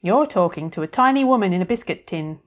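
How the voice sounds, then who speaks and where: A woman's voice: lowish voice, expressive, quick pace, lightly acted. Woman narrator doing a belittling storybook demon, nagging, scolding tone. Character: nagging critical male-ish demon on shoulder.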